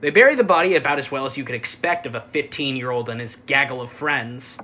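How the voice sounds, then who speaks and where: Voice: medium pitch, lively intonation, fast talker, sharp tone. A reviewer giving a casual, sarcastic recap, full of offhand asides and swearing. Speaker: anime reviewer.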